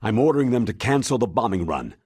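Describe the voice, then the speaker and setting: A man's voice: lowish voice, sing-song, fast, darkish tone, breathy edge, dry recording, clean studio sound. An actor voicing a burdened male military commander who speaks earnestly and apologetically. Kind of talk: video game cutscene.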